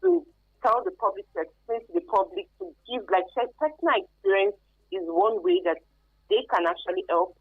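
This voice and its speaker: A woman's voice: a little high, sing-song, brisk, gravelly, husky, dry recording. A woman with a Nigerian accent explaining earnestly as an expert interview guest, repeating phrases for emphasis, on a phone-like radio recording. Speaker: expert guest, career advisor.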